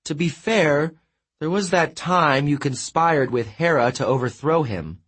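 A cool, cutting goddess of the hunt, read by a man with a husky voice and lively pitch. She sounds unimpressed by her brother. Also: measured pace, exaggerated voice. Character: stern, dry-witted goddess of the hunt.

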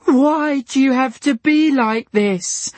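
A man's voice: slow pace, a little mellow, no echo. A man doing a fragile, sobbing woman patient. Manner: sobbing, pleading, high and wavering.

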